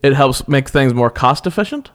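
A man's voice: mid-range voice, animated, normal speed. A man hosting his own podcast from home, upbeat and rambling, laughing often as he chats with a guest. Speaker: podcast host. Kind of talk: casual interview chat.

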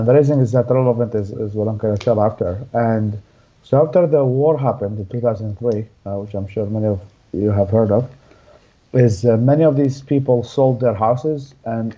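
A young man from Iraq, an interview guest telling his life story in a halting way with many restarts. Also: medium pitch, lo-fi sound.

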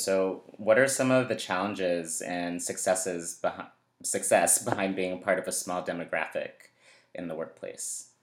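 An interviewer asking questions and affirming the guest with frequent murmurs of agreement. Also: low voice. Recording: roomy echo with faint noise.

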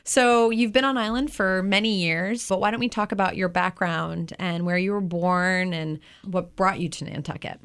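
A woman's voice: mid-range voice, fairly even intonation, normal speed, few pauses, a bit bright, clear, resonant tone. A woman hosting an interview show, introducing her guest and asking questions in a conversational way.

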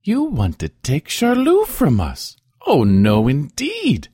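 A man's voice: on the high side, huge pitch swings, slow, mellow, husky, no echo, clean studio sound, voice pushed up, exaggerated voice. A man voicing a furious, indignant peasant mother who exclaims and scolds in a storybook reading. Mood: shocked refusal.

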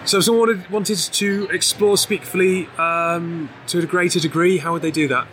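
A man's voice: sits high, steady tone, moderate pace, fairly bright tone, a little hiss or hum, rough recording. A man interviewing guests on a trade show floor, asking chatty, rambling questions with many fillers.

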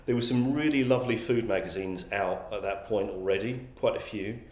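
A man's voice: on the low side, brisk, a little mellow. A man, answering interview questions thoughtfully and often restarting his sentences. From England. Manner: thoughtful, frequent restarts and repeated words. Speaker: guest, magazine publisher. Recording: roomy echoey sound.

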